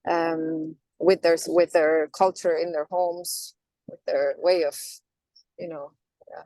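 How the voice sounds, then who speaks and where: Soft-spoken woman, thoughtful. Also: fairly low pitch, dry recording, poor audio. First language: Norwegian. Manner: thoughtful, halting, with many restarts and fillers. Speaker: singer, interview guest. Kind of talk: reflective interview answers.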